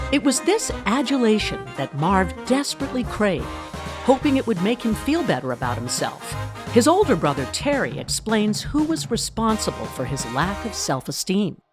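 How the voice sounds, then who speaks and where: A woman's voice: medium pitch, natural voice. A woman playing a polished, knowing narrator, reading scripted mock-documentary storytelling. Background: music bed.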